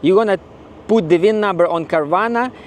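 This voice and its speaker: A man's voice: high voice, a little fast. A man with a Ukrainian accent talking casually in a vlog, blunt and regretful, often repeating himself. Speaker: car owner vlogger.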